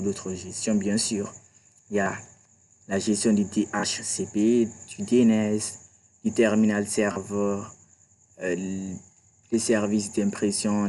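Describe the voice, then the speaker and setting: Low, flat-voiced man teaching a tutorial. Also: rough recording. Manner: chatty, repeats "d'accord" and "voilà". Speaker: IT tutorial instructor. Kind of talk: screen-recorded computer tutorial.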